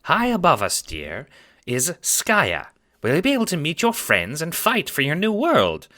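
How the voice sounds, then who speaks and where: Man putting on a high-pitched, kindly old granny spirit. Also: huge pitch swings, a little slow, sharp tone, exaggerated voice. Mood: grand, encouraging. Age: older. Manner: sweet folksy endearments, theatrical delivery. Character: kindly, playful elderly grandmother spirit, half clown. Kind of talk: audio drama character acting.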